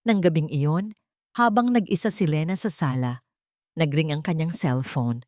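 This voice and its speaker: Low-voiced woman narrating a dramatic story. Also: moderate pace, darkish tone, no room sound, studio quality.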